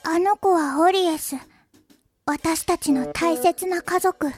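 A very high, small-girl demon who speaks slowly in short, deadpan phrases. She is listless yet soft-hearted, in anime radio drama style with music underneath. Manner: slow, terse, deadpan.

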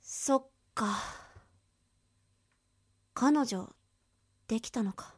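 A woman's voice: fairly high pitch, extremely slow. A woman playing a teenage girl in a radio drama, a childhood friend who hides her feelings, with music behind. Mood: subdued, wistful. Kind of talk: amateur radio drama acting.

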